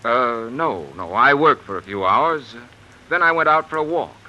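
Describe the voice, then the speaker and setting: Mild professor, animated and bright. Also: male voice, medium pitch, moderate pace, dull audio. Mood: breezy, then evasive. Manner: polite, hesitant, clipped replies. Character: mild, married male professor, evasive and uneasy. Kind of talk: 1950s radio drama acting. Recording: noisy vintage radio recording.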